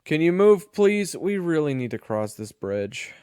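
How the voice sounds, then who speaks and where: A man's voice: fairly high pitch, sing-song, a little slow, dark tone, clear, resonant tone, no echo, clean studio sound. A man playing an exasperated, sarcastic party leader in a fantasy audio drama, speaking casually. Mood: incredulous, annoyed. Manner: casual, incredulous. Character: exasperated, sarcastic male adventurer leading a party. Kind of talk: fantasy audio roleplay acting.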